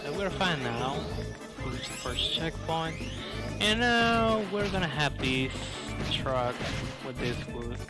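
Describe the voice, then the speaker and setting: A man's voice: fairly high pitch, sing-song, slow pace. A man giving casual live speedrun commentary in non-native English phrasing, with video game music and effects behind him.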